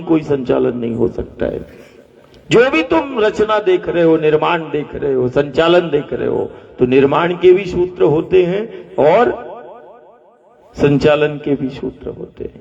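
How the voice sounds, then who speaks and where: Slow, preaching man heard from afar. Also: high-pitched, darkish tone. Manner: rhetorical, repeating phrases, asking the crowd to repeat after him. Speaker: spiritual teacher. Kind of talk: devotional discourse to a gathering. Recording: dull distant live recording with long reverb.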